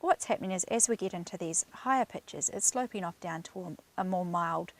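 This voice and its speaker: A woman's voice: on the low side, brisk. A woman audiologist explaining things to a client in an informal, reassuring clinical way.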